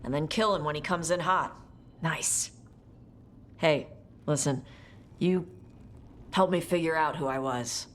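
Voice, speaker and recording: Brash fire-mage warrior: blunt, gruff delivery, low register. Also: female voice, a little slow, long pauses, slight background noise. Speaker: party companion. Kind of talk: video game cutscene dialogue.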